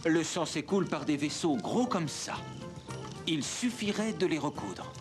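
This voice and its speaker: Cartoon kindly young male surgeon: earnest, lightly high-pitched, lively intonation, music underneath. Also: unhurried. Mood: earnest, persuasive. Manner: earnest, explanatory. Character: Ambroise Paré, earnest, kindly, inventive young barber-surgeon. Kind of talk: animated cartoon dialogue, French dub. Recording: music behind the voice.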